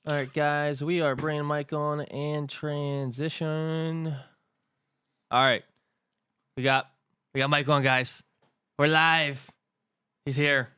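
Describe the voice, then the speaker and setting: A man's voice: on the high side, level tone, slow pace, long pauses, bright, forceful tone, clean tone. Casual man hosting a trading livestream. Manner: laid-back, swears casually. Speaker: livestream host. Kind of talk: casual live chat.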